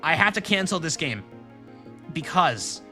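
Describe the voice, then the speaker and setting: A man rambles and jokes while he streams a game and talks to his chat. His voice sits fairly high and sounds bright and forceful. Faint game music plays underneath. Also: very animated, measured pace. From Rhode Island, New England. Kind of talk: casual let's-play commentary.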